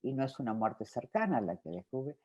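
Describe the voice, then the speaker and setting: A woman's voice: extremely low pitch, very animated, fairly quick, mellow, slightly rough, highs cut off. A woman from Uruguay with a Rioplatense Spanish accent, a film director answering interview questions in a reflective way, with many fillers and restarts.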